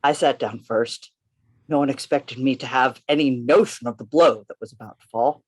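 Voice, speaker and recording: An actor in a radio play reading, playing an undercover policeman who tells his own story in a deliberate, theatrical manner. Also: mid-range voice, close to an everyday voice. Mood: tense. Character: Gabriel Syme, tense undercover policeman telling his story. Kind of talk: dramatic first-person radio play narration. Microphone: video call.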